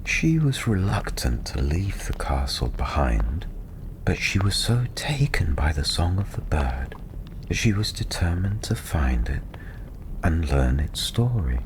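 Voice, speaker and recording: A very low-voiced man tells a fantasy tale very slowly, in a soft, breathy near-whisper. His delivery is gentle and soothing, with long pauses between phrases. Clear ambient noise sits behind the voice. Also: big pitch swings. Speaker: storyteller. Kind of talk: soft-spoken ASMR fantasy storytelling.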